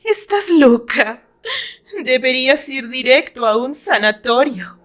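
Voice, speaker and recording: Lady doing a snooty defiant rival woman for audio drama, highish voice, emphatic, mocking. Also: animated, slow, full character voice. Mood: scornful. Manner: loud, emphatic, scornful theatrical delivery. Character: haughty, mocking redheaded female rival, defiant and self-assured.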